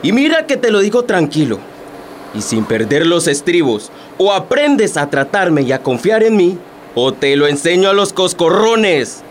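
An actor playing a blustering, domineering, hot-tempered husband in a radio drama. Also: male voice, high-pitched, very animated, measured pace, a little hiss or hum. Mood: threatening. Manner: blustering, menacing.

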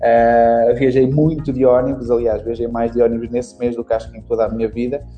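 A man's voice: medium pitch, flat delivery, a little fast, lo-fi sound, soft background music. A young adult man with a European Portuguese accent, a guest on a casual podcast interview telling his story at length. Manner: long rambling storytelling. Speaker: guest, marketing instructor.

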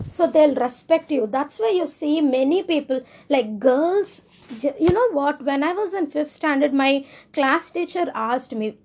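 A woman's voice: high voice, creaky, darkish tone. A young woman with an Indian English accent, talking casually and earnestly, often restarting her sentences. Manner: earnest, rambling, with frequent restarts. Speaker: speaker sharing personal views. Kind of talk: casual monologue.

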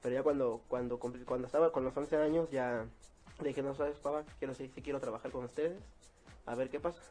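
Young man, mellow voice, music behind. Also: mid-range voice, fairly quick. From Mexico. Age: 20s. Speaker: interview guest, circus clown. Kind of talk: casual interview chat.

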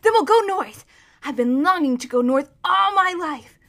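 Woman as a high-pitched, naive, eager boy. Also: expressive, moderate pace. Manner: earnest, questioning. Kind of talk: children's storybook character reading.

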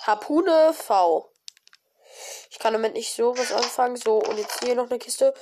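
A woman's voice: on the high side, unhurried, slight background noise. Chatty woman narrating her gameplay. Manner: chatty, excited exclamations, frequent fillers. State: has a cold. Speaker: gaming video creator. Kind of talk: casual let's-play commentary.

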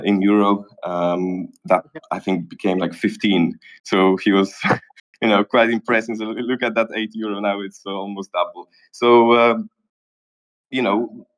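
Man, somewhat low voice, chatty, questioning, casual audio chat. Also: poor audio. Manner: conversational, questioning. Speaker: audience speaker.

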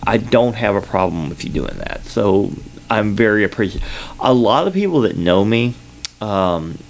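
Southern man, podcast host, low gravelly voice, rambling and filler-heavy, studio mic. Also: sing-song, moderate pace, slight background noise. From Kentucky, United States. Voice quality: loud voice at times. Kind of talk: casual solo podcast update. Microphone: sensitive studio microphone.

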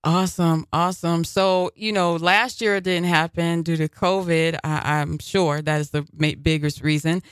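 A man hosting a local radio interview in an easygoing, chatty way, leaning on filler words. His voice is smooth and resonant, and the sound is dry and close with no room around it. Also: a little high, steady tone, moderate pace, few pauses, a bit bright, no echo. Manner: easygoing, many fillers like "you know" and "uh". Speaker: radio show host. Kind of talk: casual community radio interview.

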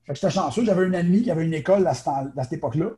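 Quebec French male guest, naturopath, casual, higher voice, very warm tone. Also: a little hiss or hum. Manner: chatty, quick, many fillers and restarts. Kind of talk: casual interview talk.

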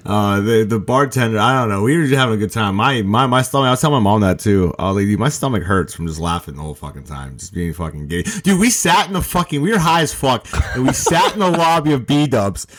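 A man's voice: fairly low pitch, expressive, fast, few pauses, a bit bright. A man hosting a casual chat with friends, loose and profane, often laughing and calling people "dude" and "bro.". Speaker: podcast host.

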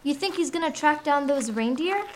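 A woman's voice: sits high, animated, moderate pace, background noise. A woman playing an impatient, whiny young girl in a radio play. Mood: tired, impatient. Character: impatient young girl, sister searching for reindeer.

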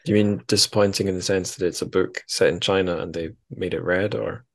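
Male host, deep-pitched, informal interview chat, tentative delivery with a dry wit. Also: fairly even intonation, brisk, vocal fry. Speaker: podcast host.

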